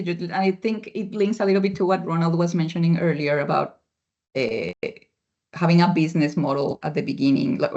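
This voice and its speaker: A moderator of an online panel asks long framing questions, speaking with many fillers and restarts. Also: medium pitch. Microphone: video call. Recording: muffled lo-fi video call audio.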